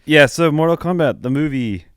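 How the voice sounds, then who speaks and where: A man's voice: a little high, moderate pace, smooth, dry recording, clean studio sound. A man co-hosting a podcast in loose, casual conversation. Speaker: podcast host. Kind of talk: casual chat.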